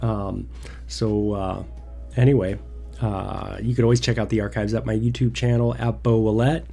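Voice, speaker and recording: Nasal man with a cold, mellow voice. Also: fairly low pitch, little pitch movement, moderate pace, creaky, soft background music. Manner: rambling, many fillers and restarts. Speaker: devotional host. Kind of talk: casual Bible devotional livestream. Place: home livestream.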